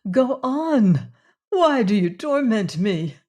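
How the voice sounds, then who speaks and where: Narrator doing a proud young heroine, higher and louder than the narration, quick to flare; storybook reading. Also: expressive. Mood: desperate. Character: proud, grieving young woman, fierce temper. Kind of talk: audiobook character voice.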